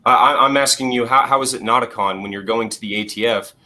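A man's voice: medium pitch, a little fast, bright, forceful tone. A man in his thirties arguing insistently on a video call through a headset, with stumbling restarts and repeated phrases. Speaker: guest. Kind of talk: heated debate on a video call. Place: small room at home.

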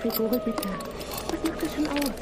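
An actress playing a kind young village daughter, earnestly pleading in an audio drama. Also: female voice, sits high, normal speed, noisy background, natural voice. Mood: anxious pleading. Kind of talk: German audio drama acting.

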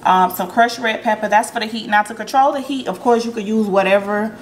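A woman hosting a relaxed cooking tutorial in her home kitchen, chatting to her viewers with plenty of ums as she works. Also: fairly high pitch, fairly quick, a little hiss or hum, low-quality recording. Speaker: cooking vlogger.

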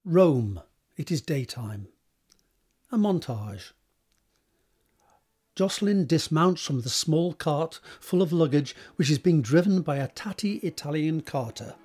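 A man's voice: a little high, lively intonation, measured pace, pauses often, darkish tone, husky. A man narrating stage directions for an audio drama in a scripted reading style.

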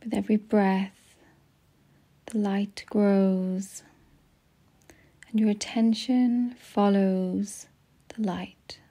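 Soft, breathy woman guiding meditation very slowly. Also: mid-range voice, fairly even intonation. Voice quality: soft, breathy, often near a whisper. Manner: gentle, soothing, unhurried with long pauses. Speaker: guided meditation narrator. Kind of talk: slow guided meditation narration.

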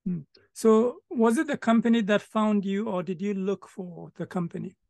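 An older man hosting a video-call interview, mostly offering soft backchannels and short questions. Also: high voice, moderate pace, vocal fry, no echo. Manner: steady stream of soft backchannels. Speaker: host, interviewer.

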